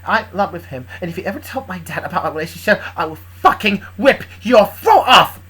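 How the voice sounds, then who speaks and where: A woman's voice: on the low side, expressive, a little fast, a bit bright, breathy edge, pitched above the performer's own voice. Woman as a fiercely protective, threatening girlfriend. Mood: menacing. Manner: firm, threatening. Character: fiercely protective, blunt girlfriend defending her partner.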